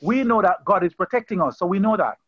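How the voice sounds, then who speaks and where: Earnest lay preacher, man, high pitch, conversational and repetitive, muffled call audio. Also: lively intonation, fast talker, dry recording. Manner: earnest, conversational, repeats phrases and restarts. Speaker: pastor leading an online Bible study. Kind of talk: informal sermon on a video call. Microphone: video call.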